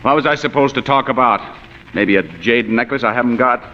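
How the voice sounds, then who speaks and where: A man's voice: medium pitch, fairly quick, pushed and bright, lightly acted. An actor playing a tough, wisecracking male private detective in 1940s radio noir, with sardonic, clipped delivery. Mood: menacing, sardonic. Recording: muffled vintage radio recording with music.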